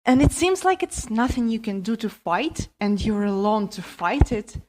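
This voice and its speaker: A woman's voice: a little high, sing-song, normal speed, darkish tone, low-quality recording. A woman recording a listener voice message with reflective commentary for a podcast. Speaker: listener sending in a voice submission.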